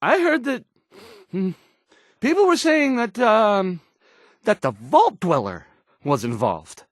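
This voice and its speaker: A man's voice: high-pitched, big pitch swings, slow pace, no room sound, studio quality, full character voice. An actor playing an awkward young male radio newscaster in a video game, nervous and hesitant, stammering and trailing off. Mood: awkward, flustered. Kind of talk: in-game radio news acting.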